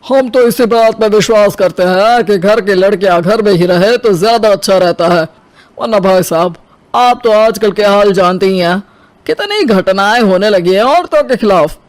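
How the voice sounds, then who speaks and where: A man's voice: sits high, normal speed, no echo, lightly acted. A man voicing a conservative, opinionated older father in a Hindi cartoon. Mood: disapproving. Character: conservative older father of the groom, stuffy, traditional.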